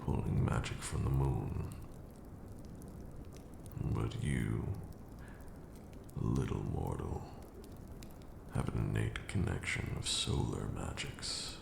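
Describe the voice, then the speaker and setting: Ancient vampire lord, haughty mentor for ASMR roleplay: extremely low, slow condescending delivery, echoey room. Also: male voice, expressive, creaky, noisy background, full character voice. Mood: grave, fond. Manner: theatrical, drawn-out, condescending.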